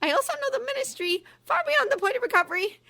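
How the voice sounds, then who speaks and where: A woman voicing a shrill, pompous middle-aged witch official who shrieks and screams. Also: big pitch swings, fast, creaky, pushed and bright, exaggerated voice. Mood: shrill pleading. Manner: shrieking, pleading then screaming.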